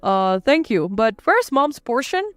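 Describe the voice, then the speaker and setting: Firm, blunt male relative read by a woman, smooth voice with lively swings, for a family drama audio story. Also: on the high side, unhurried, dry recording, faint music behind. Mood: cool, protesting. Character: narrator's son and husband, stern blunt males.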